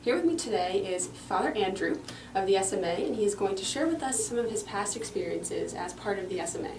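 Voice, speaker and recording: A woman's voice: medium pitch, lively intonation, fairly quick. A woman interviewer asking questions in an upbeat, polite way. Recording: roomy, noisy, distant mic.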